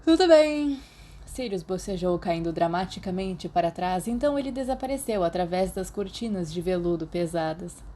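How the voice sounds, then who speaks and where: A woman with a Brazilian Portuguese accent narrating fanfiction, calm in the story and chatty in her notes. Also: fairly low pitch, moderate pace, hardly any pauses, slight background noise. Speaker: podfic reader.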